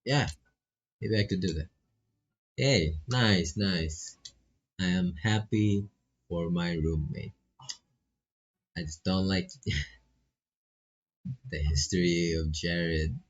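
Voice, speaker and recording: Slow, husky man streaming a game. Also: sits low, muffled recording. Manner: laid-back, swears, reacts freely to the story. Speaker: live streamer playing a visual novel. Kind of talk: casual game commentary and reading aloud.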